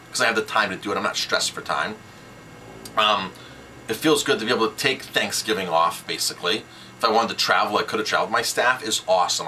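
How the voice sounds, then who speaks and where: Middle-aged male small-business coach, forceful bright delivery, slightly low voice, informal and emphatic. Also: slight background noise, poor audio. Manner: emphatic, repeats points in short sentences. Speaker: restaurant owner teaching business tips. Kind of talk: informal instructional talk to camera.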